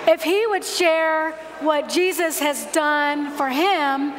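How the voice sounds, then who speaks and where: Woman in her 40s preaching, gentle and measured, high voice, church hall echo. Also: steady tone, noisy background. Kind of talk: sermon. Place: large church sanctuary, at a lectern. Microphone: lectern microphone. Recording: long hall reverb.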